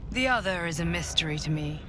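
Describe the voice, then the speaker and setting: A woman playing a solemn, commanding guardian in a fantasy video game, speaking gravely and earnestly over music. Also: lowish voice, expressive, quick pace, background noise, natural voice. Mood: grave, analytical. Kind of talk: dramatic video game acting.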